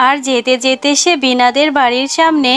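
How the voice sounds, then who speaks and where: A woman's voice: sits high, steady tone, moderate pace, a bit bright, slight background noise. Woman narrating a children's cartoon story.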